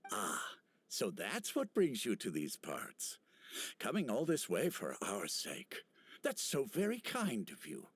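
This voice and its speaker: An actor playing a humble, gentle elderly village chief in a video game, speaking in a halting, wistful manner. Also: male voice, fairly low pitch, huge pitch swings, slightly rough, exaggerated voice. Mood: kindly. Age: older. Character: kindly, humble elderly male village chief with failing eyesight. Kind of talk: video game character acting.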